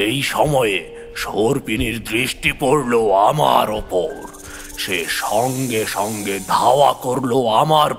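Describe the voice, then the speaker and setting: A man narrating a scripted horror story in the first person, with deliberate, theatrical phrasing. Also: medium pitch, a bit bright. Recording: long hall reverb, music behind.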